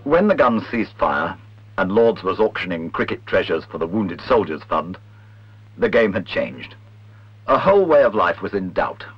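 A man reading scripted documentary narration in a measured, literary, wry manner. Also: fairly low pitch, husky. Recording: dull old film soundtrack, music behind.

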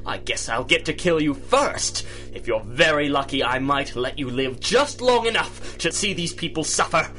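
An actor playing a gloating, theatrical megalomaniac supervillain in a 1930s-style radio drama. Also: male voice, a little high, expressive, moderate pace, few pauses, pushed and bright, soft background music. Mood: gloating menace.

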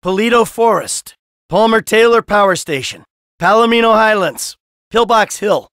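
A man's voice: sits high, normal speed, a bit bright, clean tone, dry recording, clean studio sound. A man playing a cynical, short-tempered middle-aged American criminal in a video game, with a blunt, sardonic tough-guy delivery. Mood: flat listing.

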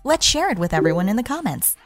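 A woman's voice: mid-range voice, very animated, fast talker. Woman narrating an explainer over music. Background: background music.

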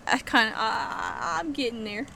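A young woman streamer giving excitable, giggly commentary while playing, on a headset mic. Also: on the high side, normal speed, gravelly, slight background noise. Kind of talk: casual gameplay commentary.

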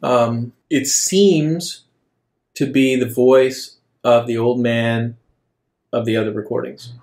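A man's voice: fairly even intonation, takes it slowly, a little mellow. A man narrating a tabletop game as its game master. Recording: noisy, rumbling background.

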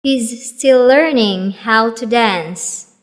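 A woman reading vocabulary for a children's English lesson, saying each word twice and then an example sentence, slowly and evenly. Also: fairly high pitch. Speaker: vocabulary teacher. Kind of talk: slow scripted word-drill reading.

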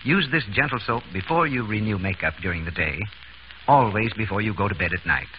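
Casting: male 1930s radio pitchman, slightly low voice, lively intonation, scripted ad read, hissy old sound. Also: a little fast. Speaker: commercial announcer. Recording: dull, hissy vintage 1930s radio recording.

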